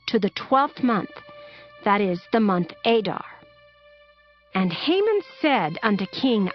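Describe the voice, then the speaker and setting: A woman giving solemn, measured scripted narration for a dramatized audio Bible. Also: medium pitch. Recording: dull lo-fi audio with music.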